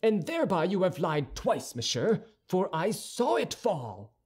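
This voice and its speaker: Male narrator as impetuous young duellist for storybook; high, animated, slightly husky, hot-tempered. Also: a little slow, full character voice. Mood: angry, challenging. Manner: impetuous, indignant, challenging. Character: hot-tempered, proud young Gascon swordsman, quick to challenge. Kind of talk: audiobook character dialogue.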